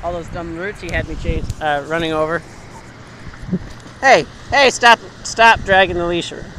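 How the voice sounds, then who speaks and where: Bright-voiced man filming a dog on the beach. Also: fairly high pitch, normal speed, rough recording. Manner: calls out short commands to a dog. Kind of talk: casual chat. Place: sandy lake beach outdoors, waves behind. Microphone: handheld phone camera. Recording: faint surf and wind noise.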